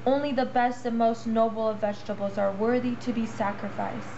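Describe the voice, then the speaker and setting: Young woman playing a high-voiced, dramatic puppet vegetable. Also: steady tone, brisk, mellow, lo-fi sound, slight background noise, close to an everyday voice. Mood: solemn. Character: zealous vegetable farmer and pleading young carrot. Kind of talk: amateur puppet show.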